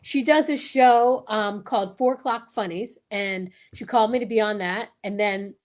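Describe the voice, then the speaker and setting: A woman chatting casually as co-host of a live online show, with an excitable, emphatic way of talking. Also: medium pitch, moderate pace.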